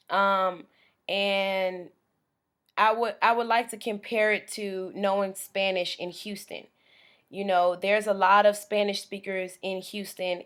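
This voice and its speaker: A young American woman, chatting casually into a webcam in a small room, rambling with frequent ums. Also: lowish voice. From United States. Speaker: vlogger. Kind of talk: casual vlog monologue. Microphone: webcam at close range.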